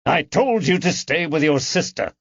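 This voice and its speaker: A man voicing an angry, commanding elderly gentleman, the indignant master of a household, in an audiobook. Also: fairly high pitch, animated, moderate pace, breathy edge, no echo, muffled recording, pitched above the performer's own voice. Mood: stern anger. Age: older. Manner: indignant, snapping, forceful. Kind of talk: audiobook character dialogue.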